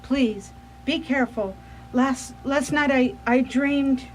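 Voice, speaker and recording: A woman playing a frail, anxious lady in a radio drama, faltering and gentle. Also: high-pitched, takes it slowly, slight background noise, poor audio. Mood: weak, worried.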